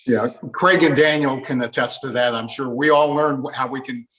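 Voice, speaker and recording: Casting: older American male interviewer, medium-pitched, conversational, adds his own memories. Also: fairly even intonation, normal speed, fairly bright tone, poor audio. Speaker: interviewer with a radio background. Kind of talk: conversational interviewing with personal recollections.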